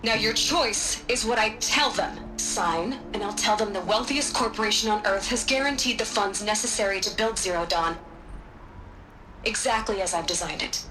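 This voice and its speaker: An actress playing a commanding, uncompromising female scientist in a video game, cold, deliberate and cutting. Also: mid-range voice, background noise, lightly acted. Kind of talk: dramatic video game acting.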